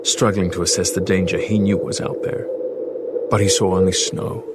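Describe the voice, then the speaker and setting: A man with a low voice narrates scripted fiction in a dramatic style. Loud music plays behind him, as in a produced audio drama. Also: little pitch movement, normal speed.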